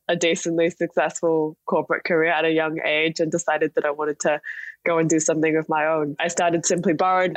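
A woman's voice: fairly low pitch, no room sound. A young woman from Singapore telling her story conversationally as an interview guest on a podcast. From Singapore, partly Australia. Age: young adult. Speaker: podcast guest, startup chief executive.

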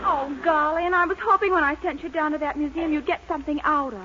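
An actress playing a sweet, earnest young American daughter, exclamatory, in a 1940s radio sitcom. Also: female voice, high voice, fairly even intonation, fast, natural voice. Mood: sweetly patient. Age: young adult. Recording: noisy, dull 1940s radio recording with audience.

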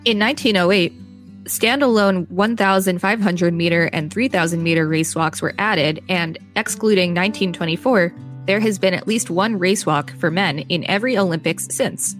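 Female podcast co-host, lowish register, reads reference text with offhand asides, faint music underneath. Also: normal speed. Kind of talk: reading an encyclopedia article aloud with casual asides.

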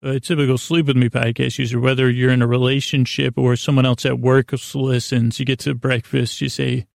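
Male sleep-podcast host, creaky voice in a dry recording, halting self-deprecating rambles. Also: mid-range voice, flat delivery, dry recording. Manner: meandering, halting, circling back on himself; self-deprecating, gently absurd.

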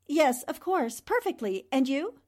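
Woman acting a high-voiced, shrewd, polite 1940s newspaperwoman. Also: big pitch swings, measured pace. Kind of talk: 1940s radio drama acting.

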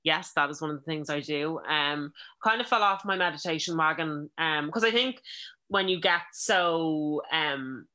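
A woman's voice: deep voice, unhurried. A woman, chatting casually as a podcast guest, laughing often and acting out what others said. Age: 20s. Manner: chatty, laughs often, quotes people in lively voices. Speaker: musician and producer.

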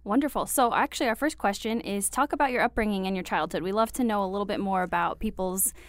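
A woman's voice: medium pitch, quick pace, no room sound. Woman interviewer, lots of encouraging little replies, dry sound. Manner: encouraging, frequent short affirmations. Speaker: host. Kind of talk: interview hosting.

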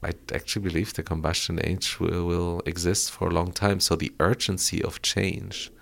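A man's voice: low-pitched, flat delivery, normal speed, vocal fry, fairly bright tone. A middle-aged man from Colombia, a guest on a podcast interview, explaining at length and often checking in with "right?". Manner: explanatory, frequent tag "right?". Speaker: guest, former auto executive.

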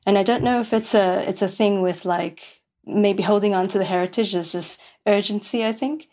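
A woman's voice: medium pitch, normal speed, a little mellow. A woman from New York City, a podcast guest, talking in a rambling casual way with many fillers and restarts. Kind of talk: casual interview chat.

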